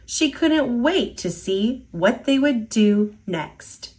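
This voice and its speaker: Slow, expressive woman reading a storybook. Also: a little high. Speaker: storytime reader. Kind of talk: children's picture book read-aloud.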